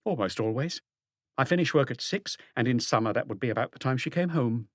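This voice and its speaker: A stubborn middle-aged working woman, played by a male narrator, pitched well above his natural register. She answers curtly and defensively, giving nothing away, in a close, dry audiobook sound. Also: lively intonation, brisk, no echo, lightly acted. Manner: curt, evasive, defensive answers.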